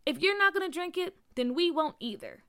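A woman's voice: extremely high pitch, animated, fairly quick, bright, forceful tone, pitched above the performer's own voice, heavily acted. A woman voicing a dramatic, outspoken young girl in a children's story, emphatic and slangy. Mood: firm.

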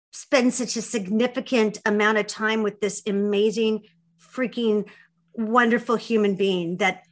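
A woman's voice: on the high side, fairly bright tone. A woman, middle-aged, telling personal stories in casual conversation with an effusive, emphatic manner. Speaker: guest, filmmaker.

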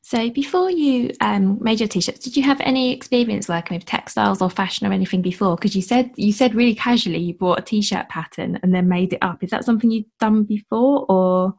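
A woman's voice: medium pitch, brisk, few pauses, a little mellow. A woman hosting a podcast in a casual, enthusiastic interview style. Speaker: podcast host.

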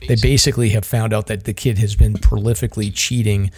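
A man's voice: on the low side, steady tone, fast talker, vocal fry, darkish tone, slightly rough. A man with a Boston accent hosting a podcast in casual chat, rambling and using lots of fillers like "like" and "um". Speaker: podcast host.

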